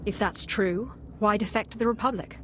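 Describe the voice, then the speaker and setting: An actress playing a cool, commanding dark sorceress in a game, curt and controlled. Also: female voice, medium pitch, normal speed, slight background noise, natural voice. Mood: cold. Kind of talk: dramatic game cutscene acting.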